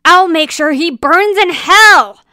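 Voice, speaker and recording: A woman voicing a furious, vengeful male villain, yelling frantically in a storybook. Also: very high voice, animated, takes it slowly, no room sound, studio quality, voice pushed up, full character voice. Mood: enraged.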